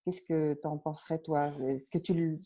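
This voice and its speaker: A woman, a nutrition coach interviewing a client with gentle, searching questions. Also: low-pitched, fairly even intonation, moderate pace.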